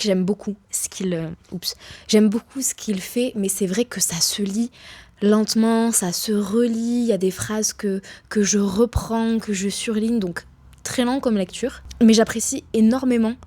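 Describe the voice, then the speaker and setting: A woman's voice: medium pitch, dark tone. Stuffy-nosed woman chatting to camera. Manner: chatty, self-deprecating asides. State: congested with a cold. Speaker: book vlogger. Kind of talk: casual vlog chat.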